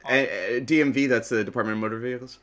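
A man talking casually as a podcast guest, joking and halting as he explains things. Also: medium pitch, a bit bright. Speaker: podcast guest, show creator. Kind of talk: casual interview talk.

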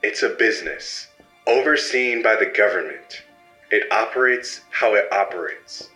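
A man's voice: fairly low pitch, lively intonation, measured pace, pushed and bright, roomy sound, faint music behind, poor audio. An actor playing a cold, detached male agency operative who speaks curtly and with finality. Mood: coldly dismissive. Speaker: official of a hero organization.

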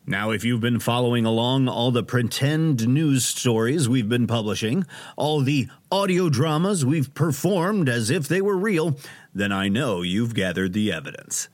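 An actor playing a theatrical, self-important radio host in an audio drama, grandiose and melodramatic. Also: male voice, mid-range voice, expressive, unhurried, close to an everyday voice. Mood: showman grandeur. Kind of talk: audio drama acting, radio showman patter.

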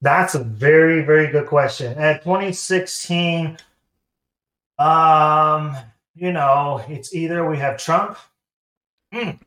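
A man with a Taiwanese accent speaks in a slightly high voice in an echoing room. He tells stories casually as a podcast guest, acting out bits of dialogue. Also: takes it slowly, fairly bright tone. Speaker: guest, sponsored competitive shooter. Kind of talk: casual interview storytelling. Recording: roomy, echoing sound.

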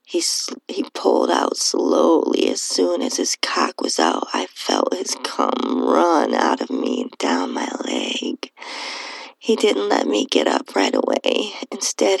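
A woman narrating a first-person erotic story in an intimate, breathy way, often dropping toward a whisper. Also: sits low, wildly swooping pitch, slow, poor audio. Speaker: podcast host reading own stories.

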